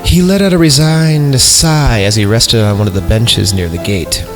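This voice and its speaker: A man hosting a comedy podcast, reading a horror story aloud with sarcastic asides and mock-serious pauses. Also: medium pitch. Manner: sarcastic asides, breaks into laughter, mock-reads punctuation. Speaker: podcast host. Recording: music playing under the voice.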